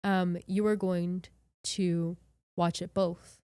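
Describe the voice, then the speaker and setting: A chatty, expressive woman from Hawaii with a light Hawaiian accent, presenting tips casually in a podcasting webinar. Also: fairly low pitch, slow, smooth, no echo.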